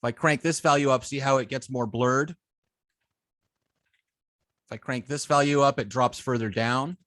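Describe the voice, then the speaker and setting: A man's voice: medium pitch, fairly even intonation, fairly bright tone, no room sound. Man teaching a coding demo, dry close sound. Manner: informal, halting, thinking aloud while working. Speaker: web development instructor. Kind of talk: live coding demonstration, explaining step by step.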